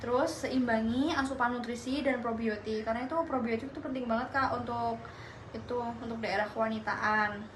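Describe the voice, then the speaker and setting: A young woman in her twenties, a pharmacy student, casually explaining a health topic to a peer with quick, friendly talk. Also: fairly high pitch, steady tone. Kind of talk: casual health outreach chat. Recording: phone video with crowd noise.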